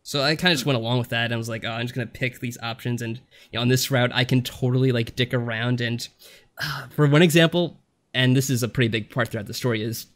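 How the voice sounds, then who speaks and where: A man talking casually as a podcast co-host, rambling through anecdotes and acting out little asides. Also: medium pitch. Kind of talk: casual chat reviewing games.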